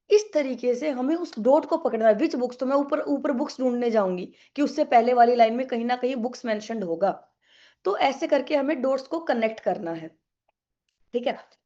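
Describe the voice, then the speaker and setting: A woman's voice: fairly high pitch, normal speed, dark tone, muffled recording. A young woman teaching an online exam-prep English class with a sore throat, chatty and coaxing, mixing English into her Hindi.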